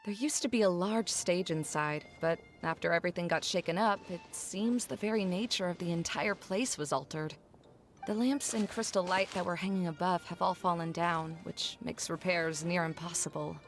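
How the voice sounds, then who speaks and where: A woman playing a composed, cautioning guide in a fantasy video game, speaking in a measured, explanatory way. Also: lowish voice, faint music behind, lightly acted.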